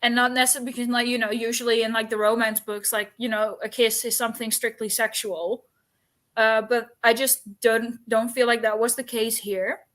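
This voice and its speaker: Bright, higher-voiced woman chatting reflectively. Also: level tone, moderate pace. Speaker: book discussion host. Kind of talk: casual book chat.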